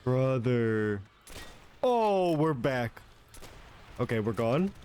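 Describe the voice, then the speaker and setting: A young man chats loosely over his gameplay, his voice swinging up and down in pitch. He jokes at his own expense and swears freely between kills. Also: big pitch swings, extremely slow, darkish tone, clean tone. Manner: self-mocking, swearing, laughing between shots. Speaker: streamer. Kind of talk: casual gameplay commentary.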